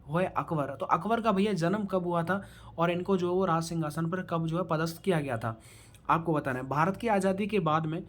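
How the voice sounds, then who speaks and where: A man teaching an online exam-prep quiz lesson, posing questions, then answering and repeating them as he coaches viewers directly. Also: high voice. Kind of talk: quiz-style lecture for online students.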